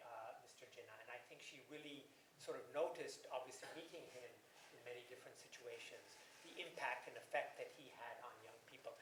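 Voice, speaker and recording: A man's voice: medium pitch, distant mic, noisy background. Man speaking in an echoey hall. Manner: chatty and digressive, with fillers. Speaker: festival speaker presenting an interview. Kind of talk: informal talk to an audience. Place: event hall.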